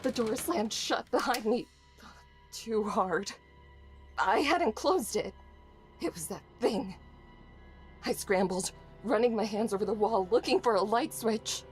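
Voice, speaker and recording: A woman's voice: fairly high pitch, animated, unhurried, long pauses, faint music behind. A woman playing a frightened but defiant trapped woman in a horror audio drama, raw and sweary. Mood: frightened narration. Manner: raw, sweary, swinging between shaky narration and defiance. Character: trapped, frightened yet defiant woman facing her tormentor.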